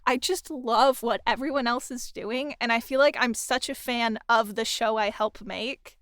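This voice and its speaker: Woman, enthusiastic sound designer in casual conversation; smooth, clear voice, close and dry recording. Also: fairly high pitch, steady tone, moderate pace, a bit bright, no echo. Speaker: audio drama sound designer and interviewer. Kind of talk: casual chat.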